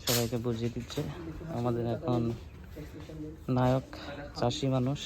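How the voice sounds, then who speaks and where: A man's voice: medium pitch, level tone, moderate pace, a crowd in the background, low-quality recording. A man hosting a casual behind-the-scenes video, talking straight to viewers and often repeating his phrases. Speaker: vlog host.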